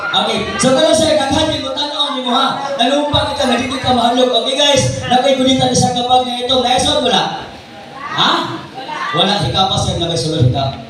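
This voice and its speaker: A man's voice: very high voice, a little fast. A man with a Visayan Filipino accent working a live party as magician and host, playfully calling out prompts to the audience. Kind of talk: live magic show patter with audience games. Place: echoey party venue with music and crowd. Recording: echoey party venue, distant mic, loud music and crowd.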